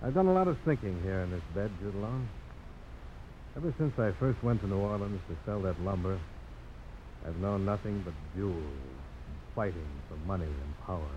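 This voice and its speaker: A rugged frontier adventurer with a fairly low voice. He is terse, blunt and sure of himself. It comes through a dull, noisy old radio drama recording. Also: expressive, moderate pace. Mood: earnest, resolved. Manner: terse, blunt, self-assured. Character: rugged, resolute frontier adventurer and knife fighter. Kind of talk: 1950s radio drama acting.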